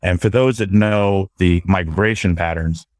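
A man's voice: low-pitched, unhurried, a little mellow, no echo, clean studio sound. A man born in Barbados and raised in Brooklyn, talking earnestly and upbeat as an interview guest. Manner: upbeat, earnest, repeats words as he starts. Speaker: guest, sustainability advisor. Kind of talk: casual interview talk. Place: Williamsburg, Brooklyn, remote call.

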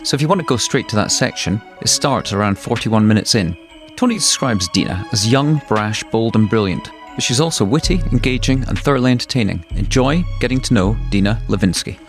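Male podcast host with a lowish voice, measured delivery, faint music underneath. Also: animated. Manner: measured, reads a list-like introduction. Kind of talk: scripted intro then casual interview. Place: Austin, Texas.

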